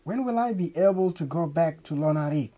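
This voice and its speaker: A man's voice: on the high side, animated, a little fast, dark tone, dull audio. A young man from South Sudan with a Dinka accent, coaching lines and recalling experiences. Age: young adult. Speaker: dialect coach. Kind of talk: spoken recollection and line coaching. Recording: clear background noise, ambience.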